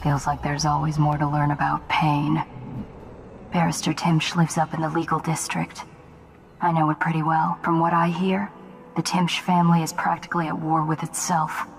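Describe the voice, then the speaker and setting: Flat, gravelly assassin's lieutenant. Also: female voice, normal speed. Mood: quiet, eager. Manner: low-key, matter-of-fact. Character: cool, ruthless female assassin's lieutenant. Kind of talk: dramatic video game acting. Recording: clear background ambience noise.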